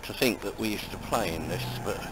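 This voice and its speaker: A man around eighty walking outdoors and pointing out places from his childhood, in a halting, wandering way. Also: fairly low pitch. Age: about 80. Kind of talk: on-location reminiscing. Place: outdoors on a common. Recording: loud outdoor noise and passing traffic.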